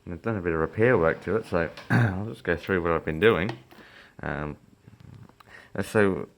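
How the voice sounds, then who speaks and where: A man's voice: deep voice, moderate pace, gravelly, slight background noise. A man giving a casual, unscripted electronics repair walkthrough, hesitant and rambling as he thinks aloud. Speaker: electronics repair hobbyist presenter. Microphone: phone filming the workbench.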